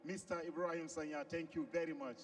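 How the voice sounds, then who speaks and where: High, polite male event interviewer. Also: fairly even intonation, fairly quick. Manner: polite and eager, many "sir" and "please". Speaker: ringside interviewer and host. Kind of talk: live event hosting. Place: arena. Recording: distant mic at a live event.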